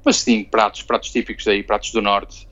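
A man's voice: on the low side, flat delivery, moderate pace, a bit bright. A young man, chatting casually as an interviewee, rambling with restarts and frequent tag questions. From Portugal. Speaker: interviewee, Portuguese living in Barcelona. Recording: dull lo-fi sound.

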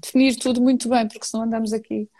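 A woman's voice: a little high, little pitch movement, racing, warm tone, no echo. A woman singer with a European Portuguese accent, talking casually as a guest and often repeating words and restarting her sentences. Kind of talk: casual conversation.

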